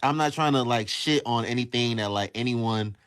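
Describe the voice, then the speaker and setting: A man's voice: mid-range voice, normal speed, fairly bright tone, smooth. A man hosting a casual call-in livestream, talking emphatically and repeating phrases to drive points home. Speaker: livestream host. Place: livestream on a social app.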